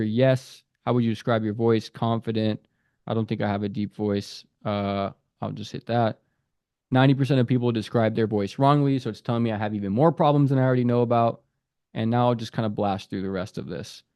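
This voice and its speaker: Man, casual app-review voice-over, even mid pitch, dry close mic, reads prompts aloud and comments. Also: smooth, no echo. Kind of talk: casual screen-recorded app walkthrough.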